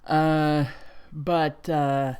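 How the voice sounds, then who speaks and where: A woman giving casual reaction commentary, thinking aloud in a halting way. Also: sits low, flat delivery, darkish tone, clean tone. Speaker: reaction video host.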